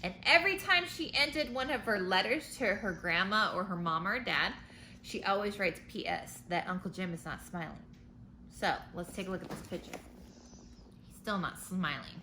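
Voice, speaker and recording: A woman teacher reading a storybook aloud to children, pausing to explain words and pictures in simple terms. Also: medium pitch, pushed and bright. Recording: noticeable background noise.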